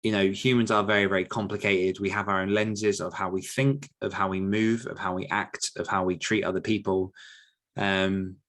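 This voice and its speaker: A man's voice: low-pitched, level tone, moderate pace, fairly bright tone. A man answering questions as a guest coach in a casual, reflective podcast conversation.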